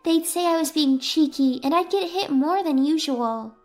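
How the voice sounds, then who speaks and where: A woman plays a gifted young schoolboy in a narrated story. He is high-voiced, smug and bored by how easy everything is, with faint music behind him. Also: moderate pace, a little mellow, lightly acted. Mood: matter-of-fact. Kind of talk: storybook character dialogue.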